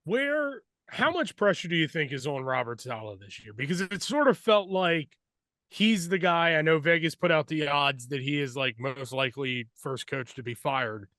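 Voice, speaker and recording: A man's voice: on the high side, lively intonation, normal speed, a bit bright, no room sound. A man hosting a sports show, putting interview questions in a casual, conversational way.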